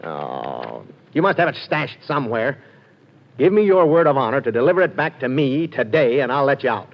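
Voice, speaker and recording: A man's voice: mid-range voice, very animated, normal speed. A man playing an exasperated, wheedling police inspector in an old radio drama. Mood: pleading. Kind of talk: 1940s radio acting. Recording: dull lo-fi old radio recording.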